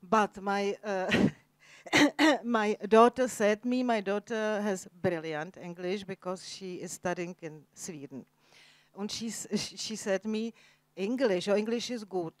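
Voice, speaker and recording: A woman from the Czech Republic, a native Czech speaker, speaking in halting, basic English with a Czech accent as a guest author in an onstage conversation. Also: mid-range voice, dry recording. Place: cultural center event hall, Jerusalem.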